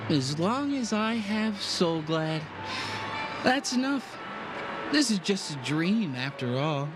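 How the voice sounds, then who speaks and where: Tipsy dreamy drunk poet for a game, giggly, slow rambling, swoopy voice, music behind. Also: male voice, high-pitched, very animated, heavily acted. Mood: tipsy, wistful. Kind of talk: video game voice acting.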